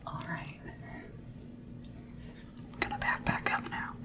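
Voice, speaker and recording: A woman speaking in a soft, breathy whisper, gently attentive and deferential, as in an ASMR roleplay of a personal sleep attendant. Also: slow, far from the mic. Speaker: ASMR roleplay creator playing a sleep assistant.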